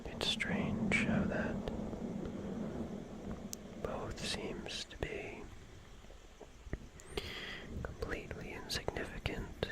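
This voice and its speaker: Casting: ASMR horror storyteller, breathy whisper, very unhurried, musing delivery with long pauses. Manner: slow, musing, drawn-out phrases with long pauses. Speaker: ASMR streamer.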